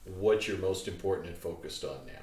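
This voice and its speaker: A man's voice: low voice, brisk, darkish tone. A male interviewer visiting a guest's home, chatty and playful, paying compliments as he introduces her. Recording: echoey room, distant mic.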